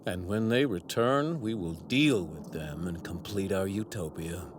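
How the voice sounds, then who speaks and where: An actor playing an arrogant, righteous god villain in an anime dub, with pompous, theatrical, formal delivery. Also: male voice, deep voice, a little slow, noisy background. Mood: smug resolve. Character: arrogant male god villain, righteous zealot who despises mortals. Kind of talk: dubbed anime villain acting. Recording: sound effects bleeding behind voice.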